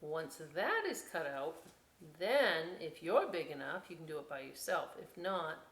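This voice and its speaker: A woman hosting a storytime for young children, reading expressively with drawn-out words and chatty, encouraging asides. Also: low voice, animated, a bit bright. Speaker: children's library storytime host.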